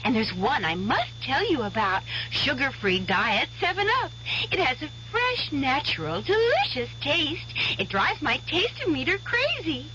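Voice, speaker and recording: An actress playing a grown-up Goldilocks in an old radio commercial, bubbly and sing-song. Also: female voice, high-pitched, big pitch swings, unhurried, sharp tone, heavily acted. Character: grown-up Goldilocks, perky adult woman. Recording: dull old radio broadcast with hiss.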